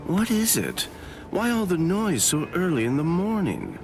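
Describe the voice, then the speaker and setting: A man's voice: high-pitched, huge pitch swings, a little slow, music in the background, heavily acted. An actor playing a sly, mocking male captain in an anime game, slippery and mock-polite with veiled menace. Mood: mock surprise.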